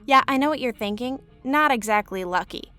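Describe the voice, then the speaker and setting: A woman's voice: on the high side, animated, fairly quick, bright, forceful tone, no room sound. An actress voicing a broke, cheeky young woman gambler in a game, chirpy and self-mocking. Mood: eager. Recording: game audio with faint music.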